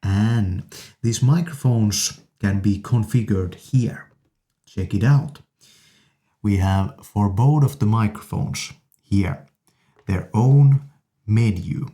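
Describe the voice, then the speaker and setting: A man presenting an informal product demonstration in halting, piecemeal phrases. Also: lowish voice, mellow. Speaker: presenter reviewing an audio interface.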